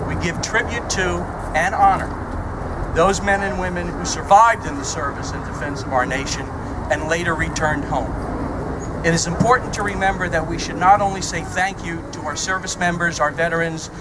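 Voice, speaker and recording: Guy emceeing outdoors, reading a welcome, bit high voice, loud cars all around. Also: heavy background noise. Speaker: ceremony emcee. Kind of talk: reading a prepared introduction. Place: outdoor ceremony.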